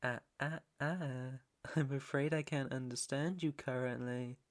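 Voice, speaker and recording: A British man playing a menacing, teasing mob boss's son in an intimate ASMR roleplay, with a deliberate, taunting delivery. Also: mid-range voice, fairly even intonation, natural voice. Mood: smug, teasing. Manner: slow, deliberate, taunting, swearing. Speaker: roleplay audio performer.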